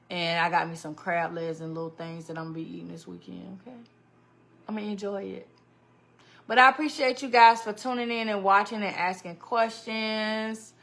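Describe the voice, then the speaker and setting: A woman's voice: mid-range voice, a bit bright. A woman hosting a casual live stream, chatting animatedly with viewers, greeting them by name and laughing often. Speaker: livestream host. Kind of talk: casual livestream chat with viewers.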